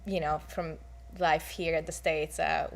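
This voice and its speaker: A woman's voice: low-pitched, flat delivery, measured pace, vocal fry. A young woman from Hungary, speaking candidly with many fillers as she shares her personal testimony. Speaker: guest.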